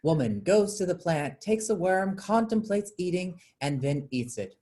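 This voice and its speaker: A woman's voice: lowish voice, expressive, unhurried, mellow. A woman reading scripted stage directions as narration for a play.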